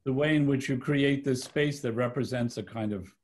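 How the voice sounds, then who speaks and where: A man's voice: mid-range voice, moderate pace, darkish tone. Middle-aged man moderating on a video call. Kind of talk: interview moderation. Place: home study lined with bookshelves.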